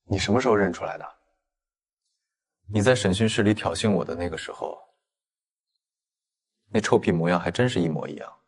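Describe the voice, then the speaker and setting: Man acting a low-voiced, cool, restrained young man. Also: brisk, pauses often, slightly rough. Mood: serious. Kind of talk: audio drama acting for animated comic.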